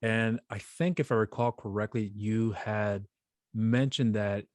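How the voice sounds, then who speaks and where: A man's voice: fairly low pitch, fairly even intonation, unhurried, darkish tone, no room sound. A man in his thirties hosting an interview who asks long, rambling questions with restarts, speaking into a studio mic with headphones in a home studio. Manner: rambling, repeats himself in questions. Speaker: podcast host. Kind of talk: casual interview. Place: home studio with a shelf wall of tapes.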